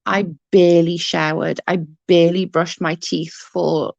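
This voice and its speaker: Creaky-voiced woman who stutters. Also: fairly low pitch, level tone, no echo, lo-fi sound. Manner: rambling, halting, often restarts and repeats words. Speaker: livestream host reading chat. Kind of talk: casual livestream chat.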